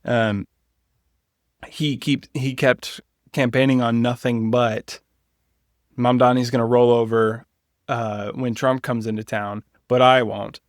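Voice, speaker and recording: A man's voice: mid-range voice, little pitch movement, slow, no echo. Opinionated man chatting politics, dry close sound. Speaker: political podcast co-host. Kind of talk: casual political discussion.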